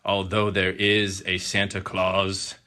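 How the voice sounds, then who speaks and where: A man voicing a stern, angry old male saint in a storybook poem, slow and booming. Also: monotone, bright, forceful tone, heavily acted.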